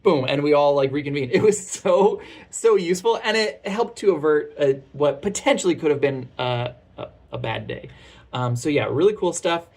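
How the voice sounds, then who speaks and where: A man's voice: fairly high pitch, lively intonation, normal speed, darkish tone. A man in his thirties giving a casual product review in a chatty, informal and candid way.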